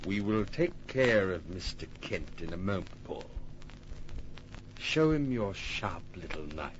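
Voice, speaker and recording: An actor playing a suave, cold-blooded killer in an old radio crime drama, speaking politely but with deliberate menace, on an old muffled recording. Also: male voice, low-pitched, lively intonation, background noise. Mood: smug, cold. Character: suave, cold-blooded murderous impostor twin with a gun.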